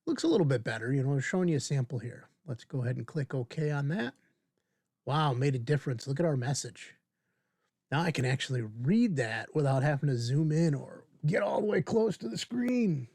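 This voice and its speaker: A man in his fifties hosting a casual screen-share software tutorial, informally thinking aloud as he demonstrates. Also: a little high, expressive, brisk, breathy edge, dry recording, studio quality. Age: 50s. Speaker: video tutorial host.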